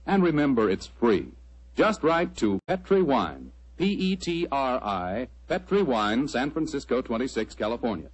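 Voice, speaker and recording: An American man announcing a 1940s radio show and pitching the sponsor in a chummy, folksy way. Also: very animated, measured pace, slightly rough, rough recording.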